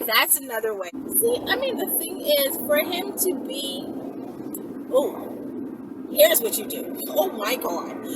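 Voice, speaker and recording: Woman, high voice, casual emphatic how-to chat, distant mic, very noisy vehicle interior. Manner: animated, emphatic, checks in with "right?" and "okay?". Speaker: vlogger giving instructions. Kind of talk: casual instructional chat to camera. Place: inside a car, daytime. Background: loud car and road noise.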